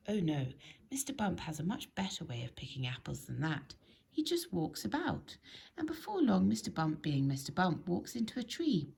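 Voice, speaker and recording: A woman reads a children's story aloud in an expressive, emphatic storytelling manner. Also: lowish voice, vocal fry. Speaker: storybook reader.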